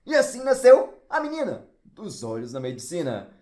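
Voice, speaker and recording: A man's voice: high-pitched, wildly swooping pitch, normal speed, a little mellow. A man playing the pompous, theatrical ghost of a scientist, telling his story formally in an audio drama. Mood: grand. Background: music.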